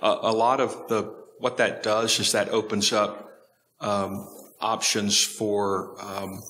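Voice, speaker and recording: A man's voice: on the low side, flat delivery, takes it slowly, bright, forceful tone. A man moderating a staff forum, casual and joking, rambling through his points in a meeting room. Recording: very echoey hall with noise.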